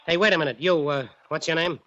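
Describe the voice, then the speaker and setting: A man's voice: fairly high pitch, fast talker, fairly bright tone, no room sound, close to an everyday voice. An actor playing a hard, bullying gunman with an American accent in 1940s radio drama, barking curt orders. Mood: curt menace. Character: hard, bullying male gunman holding captives. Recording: muffled, hissy old radio recording.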